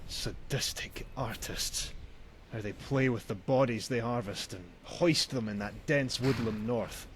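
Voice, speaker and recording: An actor playing a wry, cynical traveling merchant in a video game, with a theatrical, sardonic manner and old-fashioned phrasing. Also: male voice, mid-range voice, unhurried, slight background noise, close to an everyday voice. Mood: disgusted. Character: wry, cynical male traveling merchant, grim about bandits. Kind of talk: voiced video game dialogue.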